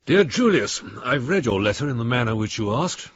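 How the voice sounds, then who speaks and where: Male lawyer friend, wry, long-suffering, slightly rough-edged voice, measured delivery, radio drama, no room echo. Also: medium pitch, very animated, highs cut off. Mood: sceptical, resigned. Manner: dry, measured, mildly exasperated. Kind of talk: radio drama letter monologue.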